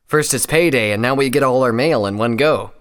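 A man's voice: on the high side, very animated, fairly quick, fairly bright tone, in a large hall, voice pushed up. A man voicing a good-natured, eager male pony in a story, friendly in manner. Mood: cheerful. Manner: friendly, enthusiastic.

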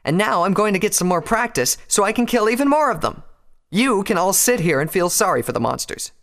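Man voicing a brash, high-pitched pegasus pony girl. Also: wildly swooping pitch, fast talker, a bit bright, heavily acted. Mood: angry. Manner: boastful, heated. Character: brash, defiant young female pegasus pony fighter. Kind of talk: dramatised fan fiction reading.

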